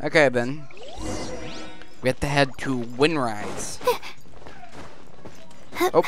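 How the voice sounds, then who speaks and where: Casting: gameplay commentator, mid-pitched, unhurried and casual, faint game music behind. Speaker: gamer commenting on own playthrough.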